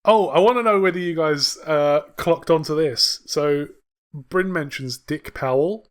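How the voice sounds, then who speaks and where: A man's voice: fairly high pitch, a little slow, clean tone. A young man co-hosting a podcast, recapping a sitcom in loose, rambling casual chat, swearing and laughing often. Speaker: podcast co-host.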